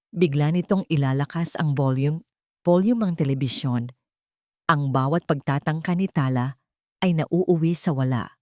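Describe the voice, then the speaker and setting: A woman narrates a scripted, dramatic story. Her pitch sits a little low for a woman, and the recording is dry with almost no room sound. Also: darkish tone, dry recording.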